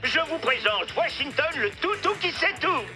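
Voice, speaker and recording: A man's voice: very high voice, very animated, moderate pace, loud music behind the voice. A man voicing a flamboyant cartoon TV quiz show host with exaggerated announcing. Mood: excited, triumphant.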